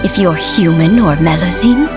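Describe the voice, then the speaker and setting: A woman's voice: on the low side, moderate pace, close to an everyday voice. A woman playing a kindly elderly lady in a video game, tender, knowing and reminiscing. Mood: tender, grateful. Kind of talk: video game character dialogue. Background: music.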